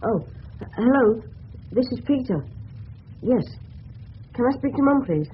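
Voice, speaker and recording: A man's voice: extremely high pitch, animated, a little slow. A male actor playing a polite, earnest, self-conscious English schoolboy of fourteen in a radio drama. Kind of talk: radio drama acting with inner monologue. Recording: noisy tinny old radio recording.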